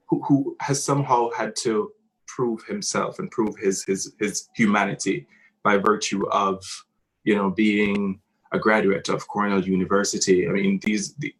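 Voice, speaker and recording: Earnest Jamaican man, low even voice. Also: rough recording. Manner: earnest, halting, emotional. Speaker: theatre director. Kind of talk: reflective artist talk.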